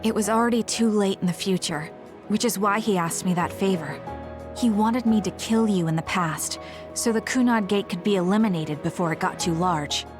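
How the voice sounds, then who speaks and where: An actress playing an earnest, composed young psychic soldier in a video game, speaking in a measured, serious way. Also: female voice, mid-range voice, music in the background, natural voice. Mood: grave, explanatory. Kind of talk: English video game dub acting.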